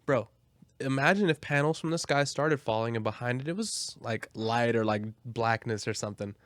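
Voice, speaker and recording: A man's voice: medium pitch, normal speed, a bit bright, no room sound. A man co-hosting a podcast in casual comic chat, slangy and sweary, acting out hypothetical scenes. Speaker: podcast co-host.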